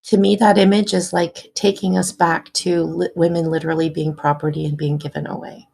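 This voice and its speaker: Woman, low voice, gravelly, raspy, gentle, supportive, casual conversation. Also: level tone, fairly quick. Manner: gentle and supportive, asks questions. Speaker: friend and co-guest.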